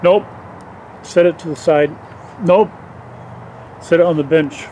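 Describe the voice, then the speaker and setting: A man's voice: fairly high pitch, slow pace, warm tone. A man giving plain, matter-of-fact instructions while he and a helper work a beehive in a backyard, recorded by a camera set at a distance. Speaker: beekeeper directing a helper. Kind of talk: casual instructions while working. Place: backyard beeyard outdoors. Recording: faint outdoor background noise.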